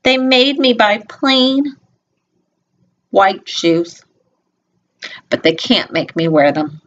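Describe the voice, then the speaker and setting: A woman's voice: medium pitch, expressive, takes it slowly, highs cut off. A woman reading a children's picture book aloud expressively, with simple instructive asides to young listeners. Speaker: storybook reader.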